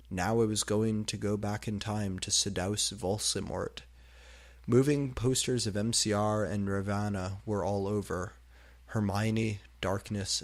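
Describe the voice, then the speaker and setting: A man giving a deliberate, theatrical dramatic reading of fan fiction. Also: lowish voice, gravelly.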